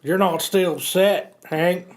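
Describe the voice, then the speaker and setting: A man's voice: sits high, slow. A man in an amateur comic reading, playing a mild henchman friend with plain, earnest delivery. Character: mild henchman friend, aggrieved but eager to please.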